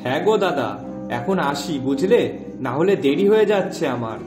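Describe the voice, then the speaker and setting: A man's voice: a little high, expressive, normal speed, natural voice. A man voicing a mild, hardworking village fisherman husband, folksy and agreeable, in a fairy tale cartoon. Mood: polite, hurried. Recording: echoey, with loud instrumental music behind.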